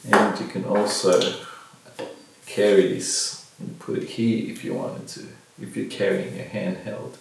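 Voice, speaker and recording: A middle-aged man with a gravelly voice, casually showing and reviewing a product at a table in a bare room, picked up by a camera a few metres away. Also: deep voice, darkish tone. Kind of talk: casual unboxing demonstration. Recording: very echoey room, distant mic, faint noise.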